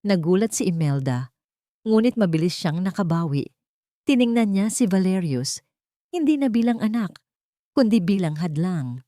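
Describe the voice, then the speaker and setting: A woman's voice: lowish voice, sing-song, moderate pace, pauses often, warm tone, no echo, clean studio sound. A woman giving a scripted, dramatic narration of an audio love-and-betrayal story.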